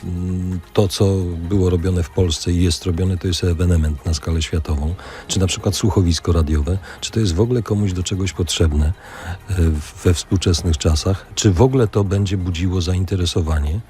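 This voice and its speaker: A man's voice: low voice, normal speed, darkish tone. A male radio interviewer talking conversationally, with hesitant, roundabout phrasing.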